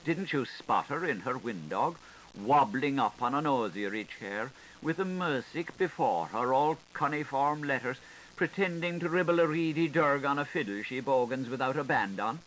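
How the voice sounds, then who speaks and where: A man playing a knowing, sharp-tongued washerwoman, telling gossip in a lilting, dramatic audiobook style. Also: mid-range voice, lively intonation, normal speed, close to an everyday voice, poor audio. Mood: storytelling. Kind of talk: dramatic literary audiobook reading. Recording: hissy 1985 tape recording.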